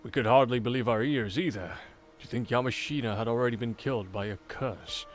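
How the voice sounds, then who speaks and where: A man's voice: medium pitch, brisk, close to an everyday voice. An actor playing an earnest male investigator in a mystery visual novel, with game music behind. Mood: astonished, grave. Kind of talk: English visual novel voice acting.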